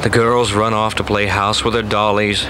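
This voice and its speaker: An actor playing a frustrated, bitter writer in a radio-play drama, cynical and declamatory, on a lo-fi analog recording. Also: male voice, lowish voice, flat delivery, moderate pace, close to an everyday voice. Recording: very noisy lo-fi analog film transfer.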